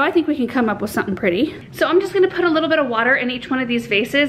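A woman's voice: on the high side, a little hiss or hum. A woman in her thirties chatting to her handheld camera at home, enthusiastically explaining her garden cuttings and arrangements as she works. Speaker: gardening vlogger. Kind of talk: casual chat to camera. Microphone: handheld camera at arm's length.

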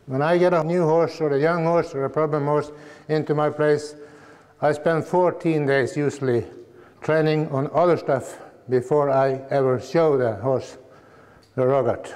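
A man's voice: fairly high pitch, darkish tone, long reverb tail. A man from the southwest coast of Norway, a horse trainer explaining his methods plainly.

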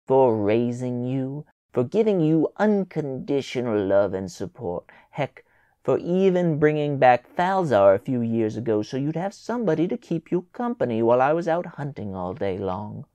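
A man reading a storybook voices a stern, furious mother scolding her daughter, loud and emphatic. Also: mid-range voice, very animated, normal speed, no room sound, dull audio, close to an everyday voice. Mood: reproachful.

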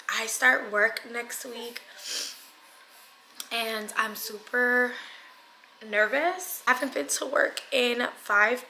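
A woman's voice: fairly high pitch, pushed and bright. A young woman chatting casually and confidingly to her viewers as a family vlogger, speaking informally at home. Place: indoors at home. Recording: echoey room with background noise.

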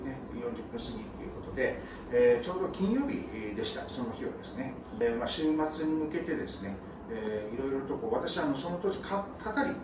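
A middle-aged man in uniform recounts events in formal, polite speech, hesitating often. He talks quickly. His voice comes from a distance in a roomy, noisy space. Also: mid-range voice. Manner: polite, hesitant, many fillers. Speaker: soldier interviewee. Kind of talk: formal recollection. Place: bare indoor room. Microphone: camera across the room.

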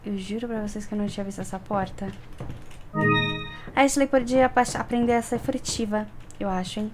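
Young woman, Brazilian accent, casual gaming streamer, playful, average pitch, game music clearly audible. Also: fairly quick. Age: young adult. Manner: playful, talks to the game character and viewers. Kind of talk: casual gameplay commentary.

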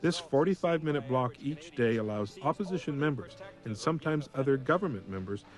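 Measured documentary narrator, man. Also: mid-range voice, animated. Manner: measured, explanatory.

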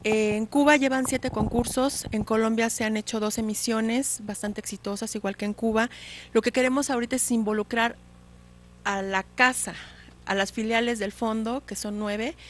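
A woman in her forties, a guest on a studio TV show, calmly explaining a program into a handheld mic. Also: fairly high pitch, normal speed, a little hiss or hum. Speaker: guest, contest organizer. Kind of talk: studio interview. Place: small TV studio set, indoors.

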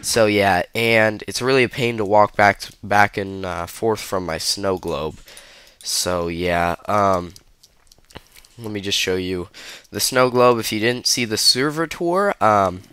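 A man's voice: lowish voice, takes it slowly, fairly bright tone, smooth, no room sound. Stuffy young man rambling over gameplay. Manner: loose, rambling, lots of ums and uhs. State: stuffy, under the weather. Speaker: gaming video host. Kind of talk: casual gameplay commentary.

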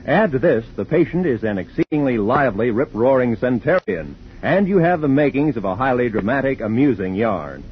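A male announcer giving a lively, emphatic promo and introduction in 1950s radio style. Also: mid-range voice, a little fast, hardly any pauses. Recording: muffled 1950 radio broadcast with faint hiss.

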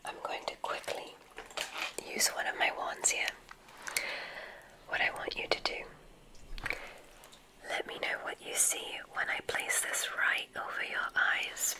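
A woman whispering in a breathy, gentle way, playing a gracious witch dean in an ASMR roleplay. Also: low-quality recording. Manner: gentle, drawn-out, effusively complimentary. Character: gracious, welcoming witch dean of a magic school.

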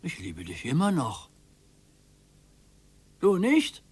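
A man's voice: on the high side, wildly swooping pitch, takes it slowly, slightly rough, exaggerated voice. An older man playing a needy, sentimental retired husband in a radio play, plaintive and nostalgic. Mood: pleading.

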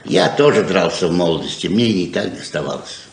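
A man's voice: deep voice, expressive, brisk. An actor playing a frank, persuasive, hospitable father of grown children in a 1970s radio play, earnest and plain-spoken. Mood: frank, reproachful.

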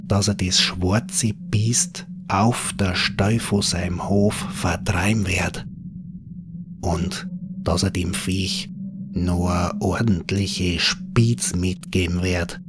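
A man with a Bavarian accent narrates a scripted horror story in dialect, in an unhurried, folksy manner. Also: low voice.

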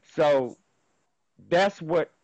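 A man's voice: fairly high pitch, extremely slow, a little mellow, no room sound, highs cut off. Rambling man on a video call. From Philadelphia, USA. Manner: rambling, repeats himself. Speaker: guest musician. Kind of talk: casual interview. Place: indoor room at home. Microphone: video call with earphone headset.

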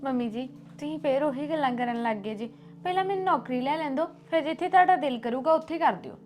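A woman's voice: high voice, lively intonation, quick pace, darkish tone, faint music behind. A woman playing a polite young daughter who sounds mildly exasperated. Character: dutiful young daughter who wants a job.

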